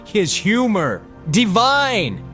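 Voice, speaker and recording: A man voicing a charming, playful, flattering nobleman in a fantasy audiobook, with a suave theatrical delivery. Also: sits high, very slow, over music, pitched above the performer's own voice, exaggerated voice. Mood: amused.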